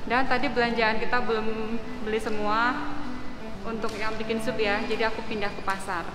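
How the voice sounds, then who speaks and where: Chatty high-voiced woman vlogging while shopping. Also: little pitch movement, normal speed, loud music behind the voice, poor audio. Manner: chatty, upbeat, giggly, addresses viewers as guys. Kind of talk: casual shopping vlog chat. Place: supermarket and outdoor market stalls. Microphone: handheld wide-angle camera at arm's length. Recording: busy store noise behind. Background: shop and market bustle.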